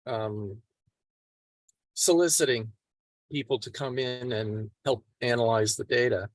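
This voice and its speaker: A man contributing to a group teleconference in a halting, hesitant way. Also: medium pitch, steady tone, no echo. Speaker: meeting participant. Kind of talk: discussion on an online group call. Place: remote teleconference.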